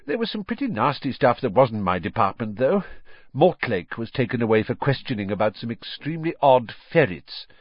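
A weary, gentle father of middle age as a man narrating a storybook acts him. He is sheepish and absent-minded, hesitating and mumbling. His pitch wanders widely, and the sound is dry and a little dull. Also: big pitch swings, fairly quick, breathy edge, dry recording.

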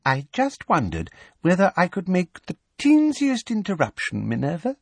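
A man's voice: high-pitched, huge pitch swings, moderate pace, gravelly, husky, dry recording, pitched above the performer's own voice, heavily acted. A man doing a simpering, sugary female official. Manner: sugary, simpering, mock-polite.

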